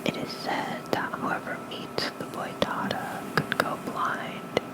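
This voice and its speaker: Slow, whispered ASMR lore reader. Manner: gentle, unhurried whispering. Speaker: ASMR host reading lore. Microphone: close fluffy-covered microphone.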